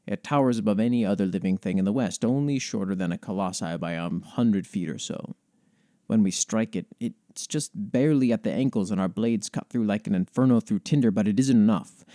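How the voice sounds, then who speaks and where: A man hosting a podcast, reading fantasy lore in an expressive, measured storytelling way with casual commentary. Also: fairly low pitch, dry recording, studio quality. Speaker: podcast host.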